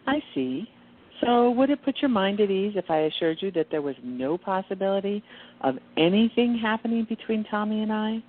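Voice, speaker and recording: A woman playing a gentle, knowing, reassuring angel in an amateur radio play. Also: medium pitch, animated, moderate pace, close to an everyday voice. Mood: calm, probing. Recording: dull muffled audio with clear background noise.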